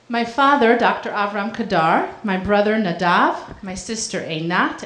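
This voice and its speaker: A woman reading prepared opening remarks at a lecture, measured and earnest with a few small slips. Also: medium pitch, roomy sound. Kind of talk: prepared welcome speech. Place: university lecture hall.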